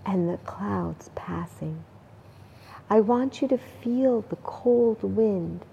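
A woman leading a guided meditation in a calm, deliberate manner, leaving long pauses between short phrases. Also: medium pitch, creaky, highs cut off, a little hiss or hum. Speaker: meditation guide, spa owner and life coach.

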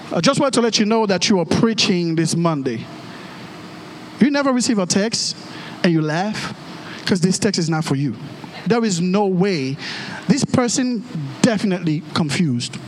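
A man with a Haitian accent, a native Creole and French speaker, preaching a storytelling sermon in an animated way and acting out dialogue with exclamations. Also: sits high, slight background noise, low-quality recording.

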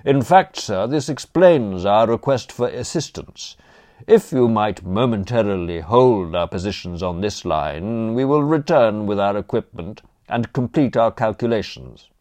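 A man voicing an unflappable, deferential gentleman's valet in an audiobook, speaking in measured, formal phrases. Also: lowish voice, big pitch swings, dry recording. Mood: polite, projecting. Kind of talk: audiobook character dialogue.

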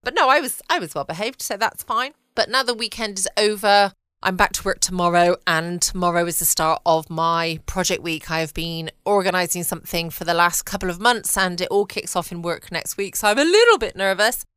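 A British woman chats casually as a bedtime podcast host. Her voice is slightly low, smooth and bright, in a dry home recording. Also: a little fast, dry recording. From United Kingdom.

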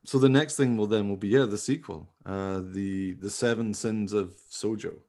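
A man's voice: fairly low pitch, normal speed, dark tone. A man chatting casually and in a rambling way as a podcast host. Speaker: podcast host and radio play maker. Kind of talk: casual chat.

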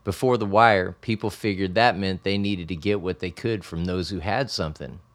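Guy doing an old gray-haired dude, low voice, plainspoken and firm. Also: moderate pace. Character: Jazz, a shaggy gray-haired man in a wheelchair. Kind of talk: radio play acting.

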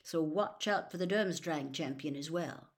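A woman voicing a troubled adult male wizard who gives earnest, hurried warnings in a storybook reading. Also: low-pitched. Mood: urgent.

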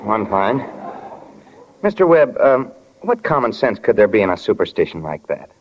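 A man's voice: lowish voice, big pitch swings, moderate pace. An actor playing an eager, earnest small-town young man in love, boyish, polite and folksy, in 1940s radio drama. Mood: puzzled, earnest. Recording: dull, noisy 1940 radio recording.

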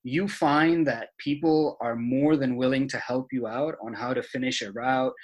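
A young man from Dubai, a podcast guest, talking in a thoughtful, measured way with frequent fillers. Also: medium pitch, steady tone, muffled recording. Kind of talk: conversational interview.

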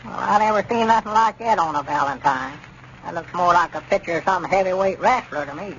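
An actor playing a fussy, skeptical old country fellow with querulous, folksy rural Arkansas talk in a 1930s radio comedy. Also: male voice, sits high, a little fast, a bit bright. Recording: muffled, noisy 1930s radio recording.

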